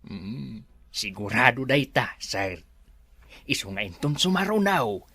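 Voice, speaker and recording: A woman's voice: very deep voice, big pitch swings, a little slow, fairly bright tone, slightly rough, dry recording, full character voice. A woman with an Ilocano accent playing an agreeable companion to a resort boss in a radio drama. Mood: assuring.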